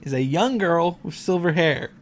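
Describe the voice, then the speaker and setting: Lively sarcastic man reacting to a game. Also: a little high, normal speed, slight background noise. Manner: sarcastic asides, casual swearing. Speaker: visual novel reactor and narrator. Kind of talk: casual reaction commentary with read-aloud summary.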